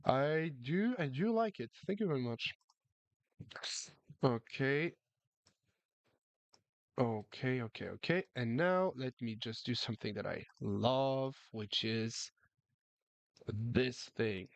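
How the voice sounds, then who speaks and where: A man's voice: medium pitch, animated, takes it slowly, gravelly, pauses often, no echo, muffled recording. A man chatting casually on a live chess stream, reading the chat and often repeating his words. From France.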